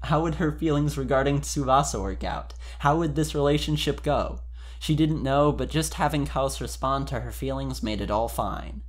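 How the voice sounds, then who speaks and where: A man's voice: mid-range voice, moderate pace. A man with a mid-range voice reads fan fiction aloud as a host. His delivery moves between dramatic narration and casual, informal commentary.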